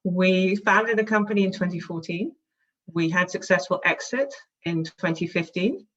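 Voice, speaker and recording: A woman's voice: fairly low pitch, normal speed, fairly bright tone, dull audio. A woman from England with a London accent, a startup CEO speaking in a composed, articulate way in a podcast interview. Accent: London English.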